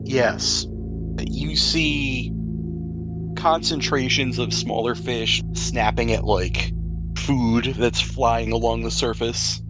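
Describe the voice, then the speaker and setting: A man's voice: medium pitch, steady tone, slow pace. A man running a tabletop game as game master, describing scenes and explaining rules in a conversational, improvised way. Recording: music playing behind the voice. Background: music.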